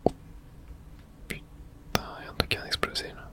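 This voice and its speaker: A man speaking in a breathy, unvoiced whisper, giving hushed ASMR commentary on a video game and thinking aloud in short remarks. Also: normal speed.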